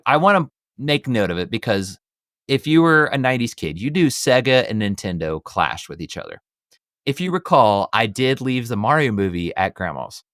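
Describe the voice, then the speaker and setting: Male podcast host, thirties, low voice, dry close sound, rambling and emphatic in casual review chat. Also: fairly bright tone, smooth, no echo.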